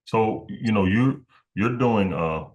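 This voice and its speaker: A man with a low voice speaks as a podcast host. His casual interviewing is halting and meandering, with words often repeated as he works toward his point. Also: lively intonation, highs cut off.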